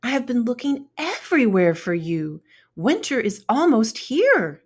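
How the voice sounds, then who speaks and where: A woman's voice: fairly high pitch, sing-song, measured pace, pitched above the performer's own voice. A woman voicing a friendly, caring female ladybug who urges her friend along in a children's storybook read-aloud. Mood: concerned.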